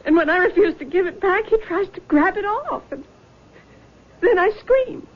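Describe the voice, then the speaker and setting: A woman's voice: very high-pitched, animated, normal speed. An actress playing a distraught, guilt-ridden young woman in a radio drama, plaintive, pleading and tremulous. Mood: haunted, pleading. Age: young adult. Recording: muffled old radio broadcast.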